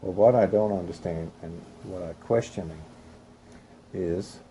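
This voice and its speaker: A man from Europe reading news aloud in a halting way, stopping to add his own opinionated comments. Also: low voice, mellow, a little hiss or hum. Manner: halting reading with restarts and coughs.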